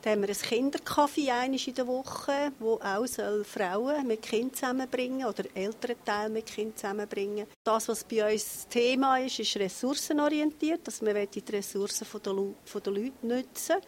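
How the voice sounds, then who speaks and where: A woman's voice: fairly high pitch, moderate pace, few pauses. Swiss German woman interviewed over background noise. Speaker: managing director of a community meeting place. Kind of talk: spontaneous interview answers.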